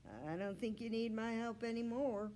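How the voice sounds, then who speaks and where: A woman's voice: a little high, moderate pace, dark tone, slight background noise, pitched above the performer's own voice, lightly acted, low-quality recording. A woman voicing a cheerful, casual young man in a children's storybook. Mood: friendly. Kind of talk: children's storybook read-aloud.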